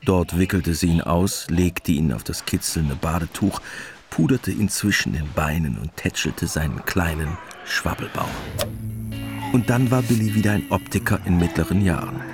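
A man's voice: low-pitched, lively intonation, moderate pace. A man narrating a scripted radio drama as its storyteller. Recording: music behind.

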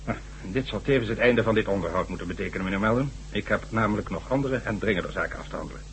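Guy playing stuffy male govt minister in a radio play, lowish voice, condescending, bit of hum and noise. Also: racing, lightly acted. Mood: firm, dismissive. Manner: formal, condescending. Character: dismissive, authoritative male government minister. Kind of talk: radio drama acting. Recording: noisy, humming old radio recording.

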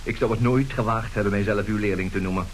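A man's voice: lowish voice, steady tone, quick pace, natural voice. An actor in a radio play playing a suave, unflappable con man, polite and coolly mocking. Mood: mock innocent. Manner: polite, ironic, composed. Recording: hissy old radio play recording.

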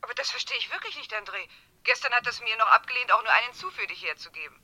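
Very bright, piercing female lover in a radio thriller, tender and questioning, on a thin phone line. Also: on the high side, animated, rapid-fire, exaggerated voice. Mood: baffled. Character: smuggler's female lover, affectionate, puzzled office employee. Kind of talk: German radio crime play acting.

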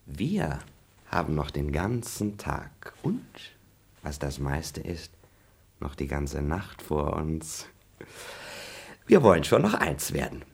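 A man's voice: sing-song, slow pace, vocal fry, pauses often, slightly rough, exaggerated voice. An actor playing a male servant who woos a maid with flowery, teasing talk in a radio play. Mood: flirtatious. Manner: flowery, teasing, playful pitch swings. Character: male servant posing as gallant, flirtatious.

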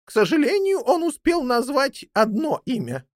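A woman voicing an old female jackdaw in a children's fairy tale, motherly, bossy and theatrical, with drawn-out emphatic delivery. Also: sits high, very animated, no echo, studio quality, voice pushed up. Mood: urgent, solemn.

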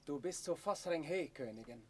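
A formal, courtly lord. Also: male voice, fairly high pitch, animated, a little fast, darkish tone, slightly rough. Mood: deferential, appeasing. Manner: formal, deferential. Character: courtly lord gently urging mercy on his queen. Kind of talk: classical German stage drama radio play.

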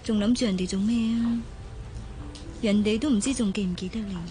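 A woman's voice: mid-range voice, steady tone, a little fast, warm tone, natural voice. An actress playing a young working woman in a Cantonese radio drama, wistful, polite and bashful, with a Hong Kong accent. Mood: wistful, torn. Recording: radio drama with music underneath. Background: background music.

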